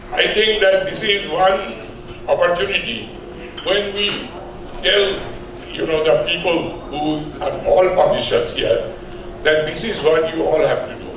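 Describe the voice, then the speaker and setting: An older man with an Indian accent giving a public speech at an event, speaking emphatically with off-the-cuff asides and repeated phrases. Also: on the high side. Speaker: publisher and book editor giving a launch speech. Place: indoor event hall at a hotel. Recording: echoey hall, far from mic, faint noise.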